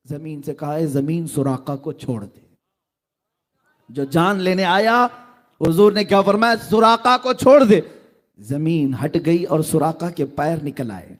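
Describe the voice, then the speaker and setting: Guy with a high voice giving a sermon, goes quiet then suddenly loud and fired up. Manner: dramatic, swinging between low tones and loud exclamations. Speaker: preacher. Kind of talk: sermon storytelling.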